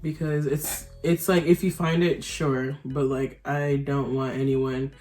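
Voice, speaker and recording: A woman's voice: very deep voice, flat delivery, measured pace, darkish tone, faint music behind. A nineteen-year-old woman vlogger chatting casually while her makeup is done, rambling and giggly with lots of fillers. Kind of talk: casual chat.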